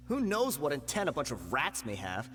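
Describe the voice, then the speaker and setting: An actor playing a cornered, shifty rank-and-file bandit in a video game, blustering and evasive. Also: male voice, on the high side, huge pitch swings, measured pace, fairly bright tone, long reverb tail, faint music behind, heavily acted. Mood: sneering.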